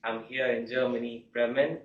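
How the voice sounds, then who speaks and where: A man's voice: mid-range voice, little pitch movement. Man telling his story, muffled and roomy. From India. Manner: measured, reflective, with soft laughs. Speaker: guest, law student. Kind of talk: personal storytelling in an interview. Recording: echoey, distant, muffled.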